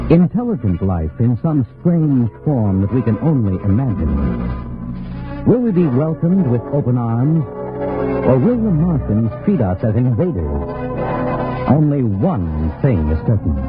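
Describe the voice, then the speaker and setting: An actor playing a grave, portentous science fiction narrator, speaking slowly and solemnly in a scripted radio drama. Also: male voice, mid-range voice. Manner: slow, solemn, rhetorical. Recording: tinny old radio recording, music behind.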